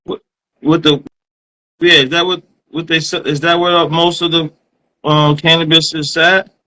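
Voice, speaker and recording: A man co-hosting a podcast, asking relaxed questions and repeating words as he goes. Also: fairly high pitch, a little slow, rough recording. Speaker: podcast co-host. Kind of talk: casual chat.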